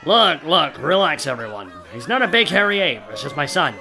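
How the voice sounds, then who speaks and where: An exasperated old ape dad performed by a man, blustering in a high, very animated voice. He is grumpy and scolding, like a cartoon character in an audio comedy. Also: a little fast, gravelly, faint music behind, some chatter behind, heavily acted. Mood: dismissive, excitable. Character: grumpy elderly hunchbacked bearded ape father, exasperated. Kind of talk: comic audio play acting.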